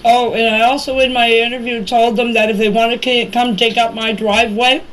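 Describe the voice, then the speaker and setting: A woman's voice: fairly high pitch, flat delivery, fairly quick. A woman giving a casual, rambling personal account in plain, folksy words, recorded as voice messages. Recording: noisy voice-message clips with background ambience.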